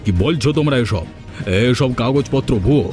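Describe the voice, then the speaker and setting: A man's voice: mid-range voice, brisk, darkish tone, natural voice. A man voicing a scheming, blustering elderly village uncle in a cartoon. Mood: indignant, scolding. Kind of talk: Bengali cartoon dialogue. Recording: music behind the voice.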